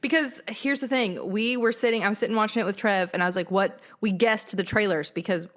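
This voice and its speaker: A woman's voice: mid-range voice, quick pace, a bit bright. A young woman with an American accent chatting casually as a podcast co-host, slangy and enthusiastic. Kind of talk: casual chat about movies and pop culture.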